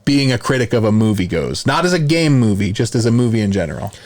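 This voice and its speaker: Mid-pitched man in casual podcast chat. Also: very animated, fast talker, darkish tone. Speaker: podcast co-host. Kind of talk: casual chat, film commentary.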